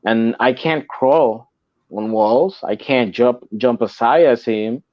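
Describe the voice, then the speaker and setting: Casting: Puerto Rican man, mid-pitch, halting repeats, slightly muffled dry recording. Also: no echo. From Puerto Rico. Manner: repeats and restarts words, animated when making a point. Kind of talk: casual podcast chat.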